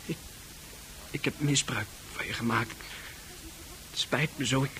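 A man's voice: medium pitch, fairly even intonation, a little slow, sharp tone. An actor in a Dutch radio play playing a naive, apologetic young man who stammers and pleads. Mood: apologetic, pleading. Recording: hissy old radio play recording.

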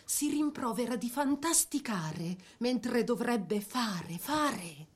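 Animated, high-voiced woman narrator. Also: husky. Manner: expressive, measured storytelling. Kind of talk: scripted dramatic biographical narration.

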